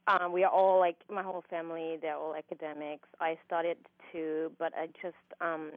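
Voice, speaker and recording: A young woman from Austria with an Austrian accent, a giggly interview guest who laughs often and fills pauses with ums. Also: deep voice, flat delivery, dry recording. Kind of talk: casual phone interview. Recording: tinny phone line, dry.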